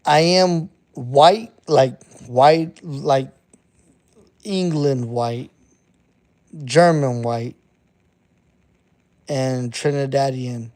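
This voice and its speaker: Slow, profane man in his thirties. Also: medium pitch, steady tone, darkish tone. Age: 30s. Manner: blunt and profane, repeats himself. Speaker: underground hip-hop artist streaming to chat. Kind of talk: casual live-stream monologue.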